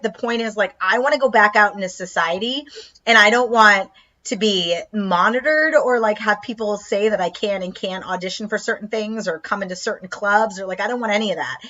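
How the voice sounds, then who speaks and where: A woman comedian co-hosting a casual live chat, animated and talkative, often saying "like". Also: medium pitch, lo-fi sound. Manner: chatty, animated, lots of "like". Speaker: comedian co-host.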